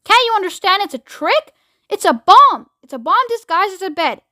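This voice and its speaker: A woman voicing a pompous, short-tempered male President with a rasping voice in a children's audiobook, barking orders. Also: sits high, lively intonation, fairly quick, bright, forceful tone, no echo, clean studio sound. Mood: furious.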